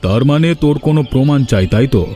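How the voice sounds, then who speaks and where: A man playing an authoritative village gentleman in a fairy-tale cartoon, speaking firmly and with command. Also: mid-range voice, animated, a little fast, faint music behind, lightly acted. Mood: stern, accusing. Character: authoritative village gentleman, generous patron and stern judge.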